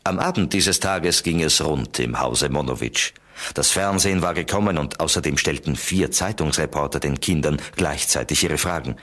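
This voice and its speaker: A man's voice: very deep voice, normal speed, fairly bright tone. A man narrating a children's audio drama as a scripted storyteller.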